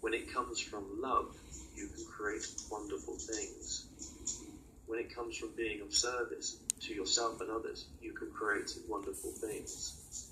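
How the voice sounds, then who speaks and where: A man delivering a spiritual channeling monologue as a guide, in halting, oracular short phrases with many pauses. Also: on the low side, flat delivery. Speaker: channeled guide speaking in a session. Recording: roomy, distant mic with clear noise.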